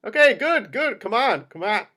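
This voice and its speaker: A man's voice: very high-pitched, big pitch swings, moderate pace, bright, forceful tone, pitched above the performer's own voice, heavily acted. A man playing a self-deprecating, jittery mob attorney in his forties, half drunk and rambling, at a script table read. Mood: jittery greeting. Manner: rambling, stammering. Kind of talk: amateur screenplay table read.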